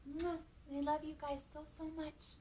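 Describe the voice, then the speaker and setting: A woman hosting children's storytime, reading a picture book in an animated, playful way and chatting to young listeners with questions and exclamations. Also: high-pitched, moderate pace, far from the mic, slight background noise.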